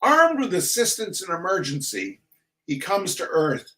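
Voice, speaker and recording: Slow, higher-pitched man reading comedy theatrically. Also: huge pitch swings, bright, forceful tone. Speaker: reader of a comic essay. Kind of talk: theatrical comic reading of a humorous text.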